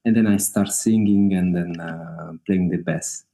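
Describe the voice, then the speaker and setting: Italian-accented man, hesitant and low. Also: steady tone, measured pace, gravelly, warm tone. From Italy. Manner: hesitant, many fillers, laughs often. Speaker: guest singer-songwriter. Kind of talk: casual interview over video call. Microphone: video call.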